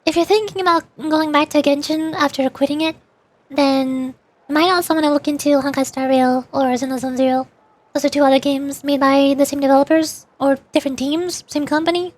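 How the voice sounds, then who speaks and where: A woman's voice: high-pitched, steady tone, brisk. A woman livestreamer chatting casually and playfully about games in a rambling, informal way. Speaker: VTuber streamer.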